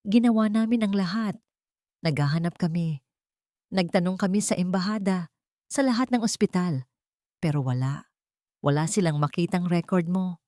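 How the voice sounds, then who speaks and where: A woman's voice: fairly low pitch, mellow, no echo, close to an everyday voice. Audiobook drama role: remorseful man, acted by a woman, pleading, broken phrasing, dry sound. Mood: anguished pleading. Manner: pleading, halting, repeating phrases. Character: anguished, guilt-ridden young man begging forgiveness.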